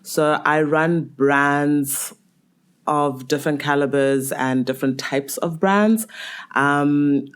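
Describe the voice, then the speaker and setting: A woman's voice: sits low, slow pace, clear, resonant tone. A woman in her twenties, raised in Botswana, speaking as a podcast guest in a reflective, candid way with casual fillers. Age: 20s.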